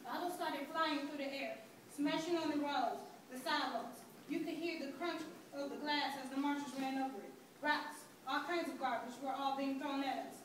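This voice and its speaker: Young woman reading as scared marcher, high voice, dramatic recital, big echo, faint noise. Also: steady tone, moderate pace, fairly bright tone. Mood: fearful recollection. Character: frightened marcher recounting flight under thrown bottles. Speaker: student performer in a dramatic reading. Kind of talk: dramatic reading of first-person account. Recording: very echoey hall, distant, faint noise.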